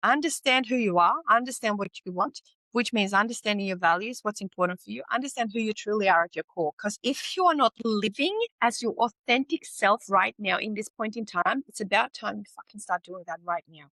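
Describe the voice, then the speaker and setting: A woman's voice: mid-range voice, brisk, bright, forceful tone, dry recording, poor audio. A woman from Sweden, hosting a podcast and giving a blunt, emphatic motivational talk, swearing and repeating points for emphasis. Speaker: podcast host and teacher. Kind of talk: motivational monologue.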